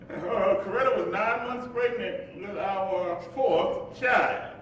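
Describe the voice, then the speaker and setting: Civil rights preacher, eloquent, slow oratorical delivery, reflective, stage play, distant echoing hall. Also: male voice, high-pitched, a bit bright. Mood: reflective, wry. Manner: measured, deliberate, preacherly cadence. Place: live performance in an echoing hall. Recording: very echoey hall, distant mic, faint noise.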